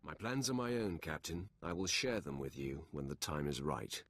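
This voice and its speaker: Very deep, haughty Sith warrior. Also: male voice, normal speed, a bit bright, heavily acted. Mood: commanding. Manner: curt, haughty. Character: arrogant, imposing male Sith warrior. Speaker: actor in a video game. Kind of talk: scripted game dialogue.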